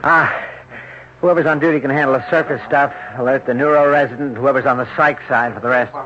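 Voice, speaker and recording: An actor playing a brisk, wisecracking male emergency doctor, offhand and slangy. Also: medium pitch, fairly even intonation, a little hiss or hum, close to an everyday voice. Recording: muffled vintage radio broadcast.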